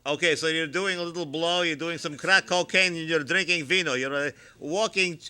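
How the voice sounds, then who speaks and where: Bright, smooth-voiced deadpan radio host man. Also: a little high, moderate pace. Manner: deadpan, teasing, stammers on restarts. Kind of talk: comedic radio banter.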